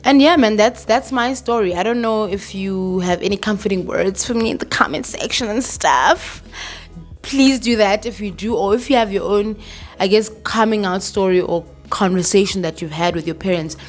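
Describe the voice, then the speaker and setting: A woman's voice: mid-range voice, normal speed, faint music behind. A South African woman in her thirties talking candidly to camera in a casual vlog, slipping in local words and swearing now and then. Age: 30s. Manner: candid, code-switching, swears, laughs. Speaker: vlogger.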